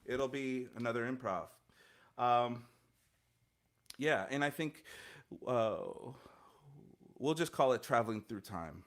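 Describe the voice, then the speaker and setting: A man's voice: mid-range voice, slow pace, pauses often. A man in his thirties, a guest musician, answering interview questions earnestly and reflectively over a video call from a home studio mic, with many fillers and restarts. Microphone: studio mic on stand, headphones, video call.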